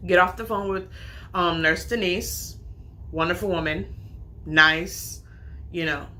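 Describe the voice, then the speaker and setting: Woman vlogger, storytime narration, low pitch, crisp forward tone, chatty and full of reported talk. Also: slow, sharp tone. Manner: chatty, retells talks with "I'm like" quotes. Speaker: vlogger and nursing student. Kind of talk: storytime retelling.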